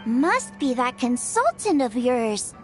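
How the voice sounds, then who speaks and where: Brief: an upbeat young chef; casual and friendly. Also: female voice, high voice, big pitch swings, takes it slowly, faint music behind, full character voice. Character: cheerful young female cook. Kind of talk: video game voice acting.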